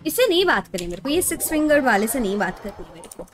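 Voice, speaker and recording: A woman's voice: on the high side, big pitch swings, racing. A woman with an Indian accent chatting casually on a game stream, repeating phrases and switching between Hindi and English. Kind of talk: casual livestream gaming chat. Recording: music playing behind the voice. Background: game music.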